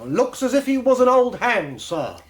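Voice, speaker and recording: An actor playing a dogged, suspicious working-class police inspector with an English accent, giving blunt testimony in a radio drama. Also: male voice, extremely high pitch, big pitch swings, normal speed. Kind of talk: radio drama courtroom acting.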